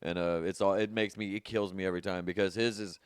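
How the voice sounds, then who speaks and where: A man hosting a casual comedy podcast, joking and swearing freely with quick asides. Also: low-pitched, steady tone, smooth, dry recording, clean studio sound. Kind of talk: casual chat.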